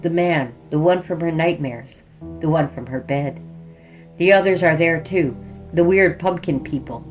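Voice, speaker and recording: A woman's voice: fairly low pitch, darkish tone. A woman reading scripted horror fiction as a podcast narrator, in a dramatic storytelling style. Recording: music behind the voice. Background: music.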